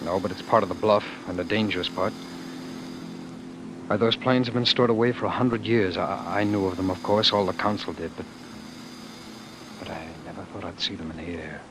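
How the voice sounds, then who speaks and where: A man's voice: sits low, steady tone, fairly quick, long pauses. An actor in an old-time radio play, voicing a defiant, bitter man fleeing war, in an emphatic theatrical manner. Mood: uneasy. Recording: noisy old radio broadcast recording.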